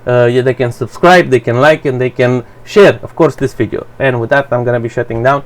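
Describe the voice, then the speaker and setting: A man whose first language is Romanian, giving a blunt, profane, halting commentary for his channel. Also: medium pitch. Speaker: vlogger. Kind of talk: rambling personal commentary.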